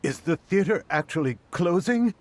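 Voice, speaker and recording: An excitable young male game character, fairly high and swinging in pitch. He sounds brash and loyal. A faint noise runs underneath. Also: moderate pace. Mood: alarmed, indignant. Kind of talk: video game voice acting.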